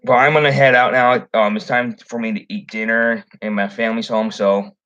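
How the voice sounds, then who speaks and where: A man's voice: fairly low pitch, steady tone, fairly quick, a bit bright. Rambling young man on lo-fi stream. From Chicago area. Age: young adult. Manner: rambling, full of ums and restarts. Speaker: fishing channel host. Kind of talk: casual livestream chat.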